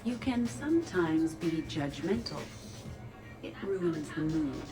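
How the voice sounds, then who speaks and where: A woman's voice: low-pitched, expressive, slow pace. A woman voicing a polite artificial intelligence with a precise, matter-of-fact, literal way of talking. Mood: frank. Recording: slightly distant, music behind.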